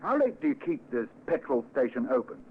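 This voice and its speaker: An actor playing a businesslike police sergeant in an old radio drama, asking clipped questions with deliberate pauses. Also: male voice, mid-range voice, very animated, natural voice. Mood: probing. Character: probing, businesslike male police detective sergeant. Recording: noisy narrow vintage radio recording.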